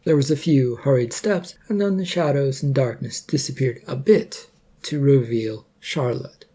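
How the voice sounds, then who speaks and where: A man's voice: medium pitch, measured pace, mellow, husky. A man reading scripted fiction as a story narrator.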